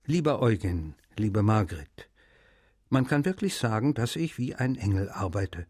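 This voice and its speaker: An actor playing a wry, weary male writer reading his letters aloud in a radio feature, in a measured, unhurried manner. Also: fairly low pitch, sing-song, a little mellow, husky, no room sound, clean studio sound, exaggerated voice. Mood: friendly.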